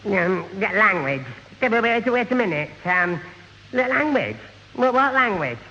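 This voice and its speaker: High-voiced, stammering, dim young fellow. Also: male voice, sing-song, a little slow, sharp tone, exaggerated voice. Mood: flustered. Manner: stammering, repetitive, flustered. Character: dim, bumbling, put-upon young man. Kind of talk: 1970 radio comedy acting. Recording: noisy, muffled, echoing old radio recording.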